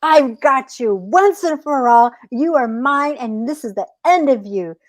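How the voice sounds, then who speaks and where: Woman voicing a plaintive, high-pitched gullible coyote. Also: expressive, a little slow, no room sound. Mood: furious. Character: gullible coyote, easily alarmed, later furious.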